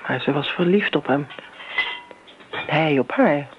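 An actress playing a devoted middle-aged daughter, earnest, coaxing and insistent in radio drama style. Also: female voice, low voice, expressive, unhurried. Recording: tinny, noisy old radio recording.

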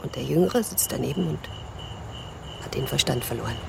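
A woman's voice: rumbling low, expressive, a little fast, vocal fry, noisy background, heavily acted. An actress playing a frank, wry, teasing woman confiding in an old friend in a German radio drama.

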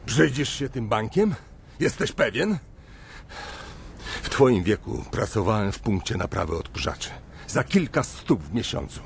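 Older male banker, menacing, mocking, lowish voice, radio drama. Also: big pitch swings, a little slow, gravelly, slight background noise, exaggerated voice. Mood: scornful. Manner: scornful, bullying, mocking.

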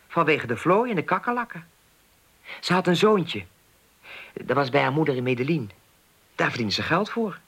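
A man's voice: on the high side, fairly bright tone, breathy edge, exaggerated voice. An actor playing an earnest, naive, lonely man in a naturalistic radio play, talking in a hesitant, rambling, plain-spoken way. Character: earnest, naive, lonely man worried about a missing woman.

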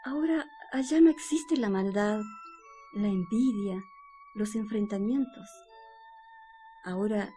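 A woman playing a wistful, fearful, obedient wife in a radio play, speaking in a hushed, hesitant, yearning way. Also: a little high, lightly acted. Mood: dreamy longing. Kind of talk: slow dramatic radio play monologue.

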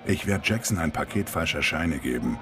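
A man playing a sly streetwise informant in a German radio crime drama, with a drawn-out, scheming delivery. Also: rumbling low, animated. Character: sly streetwise male informant who quotes scripture.

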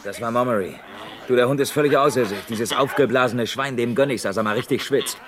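A man's voice: mid-range voice, brisk, crowd noise, lightly acted. An actor playing a brash, gleeful, money-hungry male reporter in a radio crime drama, chummy and conspiratorial. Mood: gleeful alarm.

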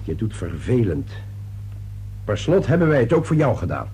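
Gruff, animated middle-aged father. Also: fairly low pitch, moderate pace, exaggerated voice. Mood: defensive, irritated. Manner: gruff, impatient, blustering. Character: irritable, defensive middle-aged father and businessman. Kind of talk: radio play acting. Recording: noisy old radio play recording.

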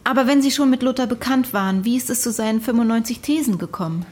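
A woman's voice: fairly high pitch, quick pace, a little mellow, natural voice. An actress playing a sceptical, dryly ironic interviewer in a radio drama, probing as she talks. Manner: dry, probing.

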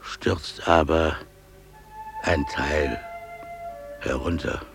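An actor playing a humble, pious father and animal keeper in a radio drama, declaiming solemnly with weighty pauses. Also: extremely low pitch, gravelly, music in the background, exaggerated voice. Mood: solemn, reverent. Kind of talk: German radio drama acting.

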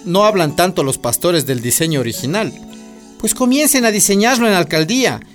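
Idealistic grassroots candidate: earnest, folksy, fairly high voice, radio drama interview, faint music. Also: male voice, expressive, a little fast, fairly bright tone. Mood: earnest, pointed.

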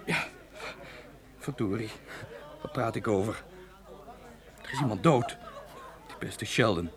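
An actor playing an excitable, opportunistic male television director in a radio play, frantic and prone to stammering. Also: lowish voice, takes it slowly, crowd noise. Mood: rueful, dazed. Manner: frantic, stammering, self-questioning. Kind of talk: Dutch radio play acting.

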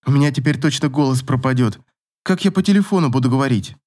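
A man's voice: mid-range voice, quick pace, a little mellow, lightly acted. A man voicing an insistent, questioning young single mother in an audiobook romance, with a challenging manner. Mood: playful.

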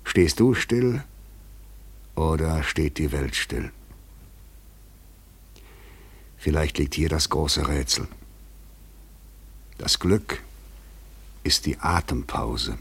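Radio play: restless, worn-out intruder on the run, low husky voice, probing and rhetorical. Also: male voice, animated, slow pace, pauses often, a bit bright, full character voice. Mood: weary, tense. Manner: articulate, rhetorical, insistent. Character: overwrought, weary, probing male fugitive intruder. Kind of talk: German literary radio play acting.

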